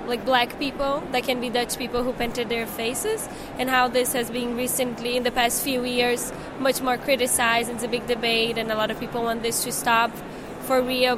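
Hesitant young woman from Brazil outdoors. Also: fairly high pitch. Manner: thoughtful, hesitant. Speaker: interviewee, student. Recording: noisy outdoor recording.

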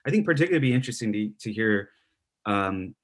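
A man hosts a relaxed interview in a somewhat low voice. He rambles through his questions with plenty of fillers and restarts, his volume often dropping away at the ends of thoughts. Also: fast talker. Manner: rambling, many fillers and restarts, trails off quietly. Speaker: podcast host. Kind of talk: conversational interview.

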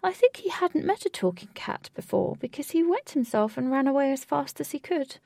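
A woman reads as a giant fat storybook cat, sweet and endearing. The voice is lifted and soft-toned, with a little creak in it. It sounds lonely, earnest and very affectionate. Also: high-pitched, very animated, fairly quick, no echo, studio quality, pitched above the performer's own voice, heavily acted. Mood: plaintive. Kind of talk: storybook character voice.

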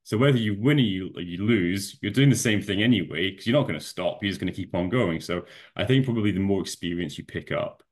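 A low-pitched man from Scotland chatting as a podcast guest. He talks in a loose, rambling way, circling back with "you know" and half-started phrases, and his voice sits deep and steady. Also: fast, hardly any pauses, husky. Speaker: podcast guest, bodybuilding competitor. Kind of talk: casual conversational interview.

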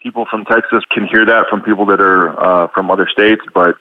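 Deep-voiced man on a tinny phone line. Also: steady tone. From Arizona. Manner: rambling, unhurried, many ums. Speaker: caller. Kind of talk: storytelling on a call-in show.

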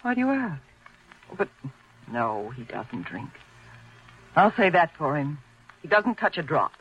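An actress playing a bitter, composed housewife with a controlled, wry delivery in 1940s radio drama style. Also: female voice, deep voice, big pitch swings, measured pace, fairly bright tone, breathy edge, heavily acted. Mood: guarded, wry. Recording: muffled vintage 1940s radio recording.

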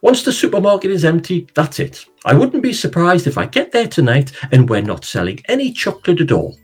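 A man voicing a frazzled, fed-up mum who rants in quick bursts. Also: on the high side, big pitch swings, a little mellow, slightly rough, soft background music, pitched above the performer's own voice. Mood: exasperated. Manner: exasperated, rapid rants.

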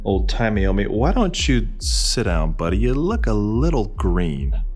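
Male, cynical washed-up gumshoe; lowish, gruff, swinging delivery for noir spoof audio drama. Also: very animated, a little slow, a little mellow, faint music behind. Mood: sardonic. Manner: sardonic, gruff, blunt. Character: cynical, crude, hard-boiled washed-up private detective. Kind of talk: hard-boiled noir parody audio drama acting.

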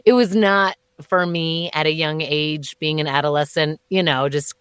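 A deep-voiced woman in her thirties talks casually as an interview guest, laughing and doubling back on her words. Her voice sits low and close, with a dry, dead sound around it. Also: steady tone, normal speed, fairly bright tone, smooth, no echo. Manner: chatty, laughing, many fillers and restarts. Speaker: voice actor guest. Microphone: remote call.

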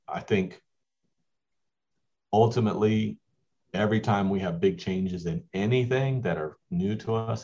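A man's voice: deep voice, a little mellow. A man, a school basketball coach, answering interview questions in a rambling way with many restarts. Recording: dull lo-fi audio.

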